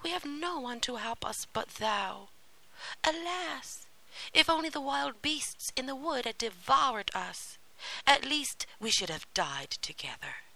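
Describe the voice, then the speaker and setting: A woman's voice: high voice, big pitch swings, a little slow, creaky, bright, forceful tone, voice pushed up, full character voice, poor audio. A woman voicing an earnest young girl in a storybook tale, speaking with open emotion. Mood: despairing. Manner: emotional, exclaiming. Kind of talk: storybook character acting.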